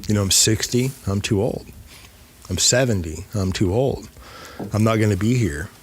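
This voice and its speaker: A man's voice: fairly low pitch, normal speed, breathy edge. A man in his fifties hosting a podcast, talking casually and emphatically, repeating phrases to drive a point home. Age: 50s. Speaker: podcast host.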